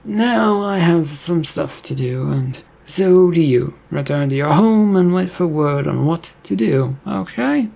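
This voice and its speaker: A man's voice: a little high, expressive, measured pace, gravelly, hardly any pauses, darkish tone, pitched above the performer's own voice, exaggerated voice. A man playing a mysterious, commanding stranger in an audiobook story, dismissive in manner.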